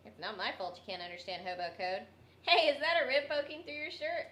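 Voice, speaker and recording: A woman playing a deadpan, unsympathetic co-worker in a comedy radio sketch. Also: high voice, brisk.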